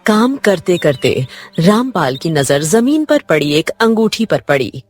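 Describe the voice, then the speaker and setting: A woman narrates a scripted children's story in a fairly low voice. Her intonation is lively and varied, with gentle music behind her. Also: a little slow.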